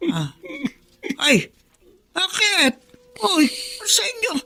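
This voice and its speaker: A woman's voice: extremely high pitch, wildly swooping pitch, pushed and bright, exaggerated voice. An actress playing a concerned, coaxing older woman visiting a friend in a radio drama, with a Filipino accent. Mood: concerned, reassuring. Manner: coaxing, inquisitive, unhurried. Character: concerned, chatty elderly female friend.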